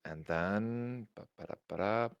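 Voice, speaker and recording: A man's voice: lowish voice, measured pace, gravelly, smooth, muffled recording. A man with a German accent doing casual livestream commentary, chatting with his viewers, reading their messages aloud and laughing often. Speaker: tournament co-commentator.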